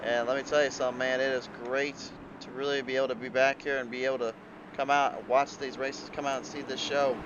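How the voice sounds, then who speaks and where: A man's voice: mid-range voice, level tone, quick pace, muffled recording, noisy background. A man giving rambling live play-by-play commentary on a racing league broadcast, a little under the weather, his voice cutting out at times. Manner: rambling play-by-play with restarts and fillers. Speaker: race commentator.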